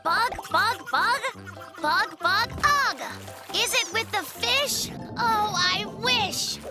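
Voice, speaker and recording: An earnest, anxious little polar bear cub in a kids' cartoon. The very high, lively voice frets in singsong, with loud music underneath. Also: female voice, extremely slow, heavily acted. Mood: fretful searching. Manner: fretful, rhyming singsong muttering. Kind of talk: children's cartoon voice acting.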